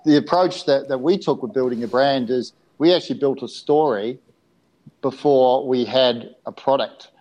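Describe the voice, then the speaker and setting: A man's voice: mid-range voice, moderate pace, a little mellow, lo-fi sound. A man hosting a casual interview, frank, swearing casually and laughing often.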